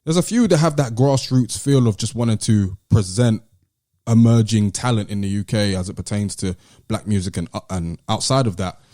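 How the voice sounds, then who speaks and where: Male podcast host, British, deep and relaxed, explanatory, casual delivery. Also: moderate pace, no echo, clean studio sound. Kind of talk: casual discussion.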